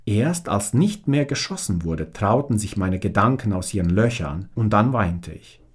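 A man's voice: fairly low pitch, lively intonation, moderate pace, husky. A man in his forties from Bosnia with a strong Bosnian accent, reading his own memoir as an audiobook in a direct, plain way.

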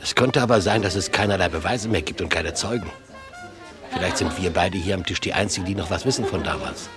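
An actor playing Paul, a smug, cold traitor who speaks with cool, mocking evasion. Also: male voice, deep voice, a little fast, natural voice. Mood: sneering. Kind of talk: radio play acting. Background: bar piano music.

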